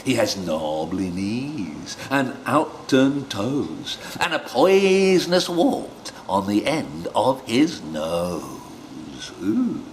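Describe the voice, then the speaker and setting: Male storyteller-instructor: unhurried, highly animated delivery, dramatic children's reading, some background noise. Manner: deliberate, expressive, coaxing questions. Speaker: storyteller teaching read-aloud technique.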